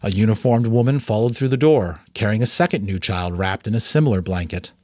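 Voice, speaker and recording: A man narrating a novel aloud as a dramatic, expressive reading. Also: on the low side, brisk, dry recording, clean studio sound. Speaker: audiobook narrator and voice actor. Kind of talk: dramatic story reading with asides.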